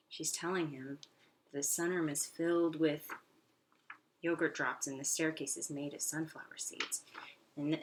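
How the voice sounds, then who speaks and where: A woman teaching young pupils in a read-aloud lesson, encouraging and instructional, with simple phrasing and frequent questions. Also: deep voice. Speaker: elementary school teacher. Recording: noisy recording with clicks.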